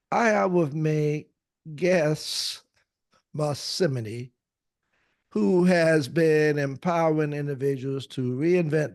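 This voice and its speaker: A male podcast host who speaks very slowly and hesitates often, with frequent fillers and restarts. His voice sits at an ordinary middle pitch, recorded close and dry with no room sound. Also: darkish tone, no room sound. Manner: slow, hesitant, many fillers. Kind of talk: podcast interview.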